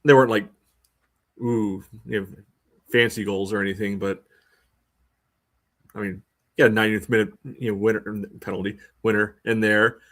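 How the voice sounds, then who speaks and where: An American man with a lowish voice, chatting casually as a sports podcast co-host. His delivery is dry and understated, in an everyday conversational style. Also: flat delivery, moderate pace, pauses often, a bit bright.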